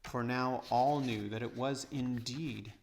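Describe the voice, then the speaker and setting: A man's voice: mid-range voice, steady tone, slow, slight background noise. A man narrating a storybook aloud as a read-aloud reader.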